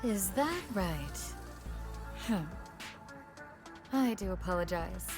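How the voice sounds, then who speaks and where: Calm commanding guardian lady, polite, really slow, music over it. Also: medium pitch. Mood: politely firm. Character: polite, firm female guardian, calmly commanding. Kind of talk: anime game trailer voice acting.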